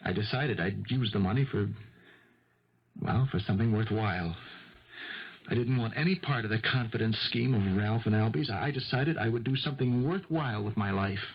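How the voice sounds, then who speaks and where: A man's voice: fairly low pitch, moderate pace, slightly rough. An actor in a 1970s radio mystery playing a desperate young ex-football player in his twenties who pleads and stammers his denials. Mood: subdued, earnest. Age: 20s. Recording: muffled old radio broadcast recording.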